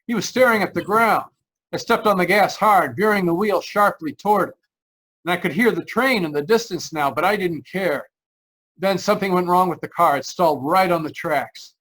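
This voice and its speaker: A man's voice: high-pitched, normal speed, a bit bright, low-quality recording. An actor playing a haunted, anxious driver narrating his road trip in old-time radio style, tense and earnest. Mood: desperate. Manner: tense, earnest, dramatic storytelling. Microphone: video call. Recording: live video-call performance.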